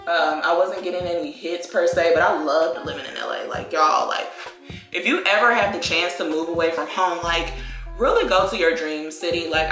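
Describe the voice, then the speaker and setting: A young woman from Virginia talks through her life story in easy, rambling chat, filling it with likes and y'alls. Her voice sits a bit low but sounds very bright. The room echoes around her, with soft music playing underneath. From Virginia, USA. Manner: chatty, drawn-out phrases, lots of likes and y'all. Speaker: beauty vlogger. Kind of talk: casual get-ready-with-me chat.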